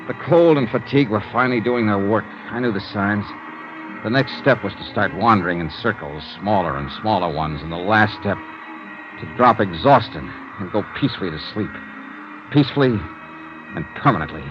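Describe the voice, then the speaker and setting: An actor playing a hard-boiled investigator who tells his own ordeal in a deliberate, intense 1950s radio drama style. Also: on the low side, lightly acted. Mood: grim, dramatic. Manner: deliberate, intense, theatrical storytelling. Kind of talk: 1950s radio drama acting, dramatic first-person narration. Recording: dull vintage radio recording with prominent music.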